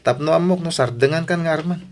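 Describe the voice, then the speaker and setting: A man's voice: on the high side, fast, darkish tone, lightly acted. A man with an Ilocano Filipino accent playing an impatient young suitor in an audio drama, insistent and pressing. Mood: insistent wooing. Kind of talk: radio drama acting.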